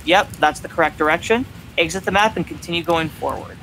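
A young man with an American accent and a naturally high voice, casually coaching someone through a video game. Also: a little fast, a bit bright, slight background noise, rough recording. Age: young adult. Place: home gaming room, indoors.

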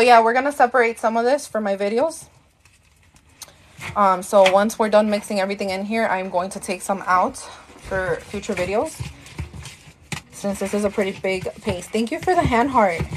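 A woman's voice: mid-range voice, normal speed, a little hiss or hum. A woman, chatting casually as a live-stream host, thanking viewers and slipping into Spanish, a bit tired and out of breath. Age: 30s.

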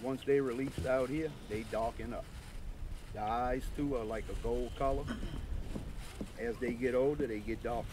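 A man with a medium-pitched voice leads an informal guided tour outdoors. His way of talking is folksy and plain-spoken. Loud wind and boat noise cover the recording, picked up by a camera a little way off. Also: fairly even intonation, normal speed, pauses often. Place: outdoors on an open airboat in a marsh. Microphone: camera mic a few metres away.

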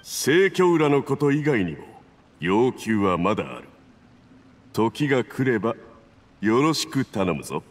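A man's voice: mid-range voice, sing-song, a little slow, long pauses, long reverb tail. A man voicing a scheming, domineering male agent in an anime video game, smug, patronizing and commanding. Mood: patronizing praise. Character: scheming, domineering Fatui agent toasting his accomplice.

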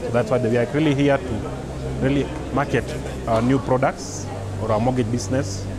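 A male mortgage advisor pitches earnestly at an expo stand, leaning on the word "really". His pitch is ordinary and middling. A loud crowd roars right behind him. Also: fairly even intonation, normal speed.

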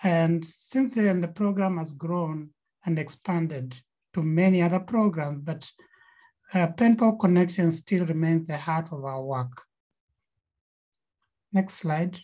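A woman's voice: low voice, takes it slowly, long pauses, dark tone, rough recording. A woman with a Kenyan accent, co-founder of a nonprofit, presenting slides on a video call with frequent fillers and repeated words.

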